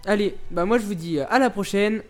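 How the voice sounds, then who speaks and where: A man's voice: sits high, brisk, faint music behind. A young man with a cold and a sore throat, chatting casually as he recommends anime in a vlog, rambling with lots of fillers and restarts. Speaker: YouTuber reviewing anime.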